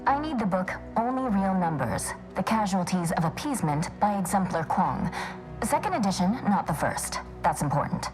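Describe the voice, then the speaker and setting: A woman's voice: mid-range voice, big pitch swings, normal speed, music in the background, close to an everyday voice, rough recording. A woman playing a sardonic, argumentative scholar with a blunt way of talking in a video game. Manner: sarcastic, blunt. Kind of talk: video game dialogue acting.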